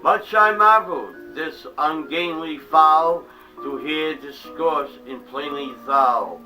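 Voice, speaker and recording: A man giving a theatrical, dramatic reading of a poem, dropping to hushed tones and sometimes stumbling and restarting. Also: on the high side, slow. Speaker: reader of a poem. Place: small home museum room. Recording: distant mic with eerie music behind.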